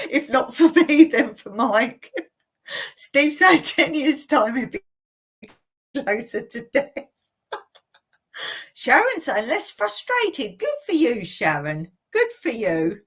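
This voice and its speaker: Slow, chatty woman in her late fifties. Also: fairly high pitch. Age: 50s. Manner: chatty, laughs often between remarks. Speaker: channel host. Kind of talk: casual live Q&A, reading viewer comments aloud. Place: home in rural Cyprus.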